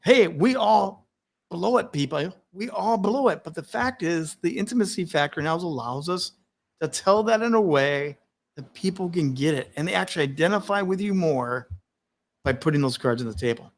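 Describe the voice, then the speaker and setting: A man hosting a live-stream conversation, talking in an energetic, emphatic way, laughing often and speaking openly about his stutter. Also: sits high, moderate pace, creaky. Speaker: host.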